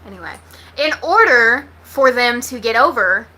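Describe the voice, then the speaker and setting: A woman's voice: on the high side, lively intonation, moderate pace, sharp tone. A woman from Texas with an American accent, chatting casually to livestream viewers in a blunt, slangy, sweary way. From Texas, United States. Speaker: livestream host.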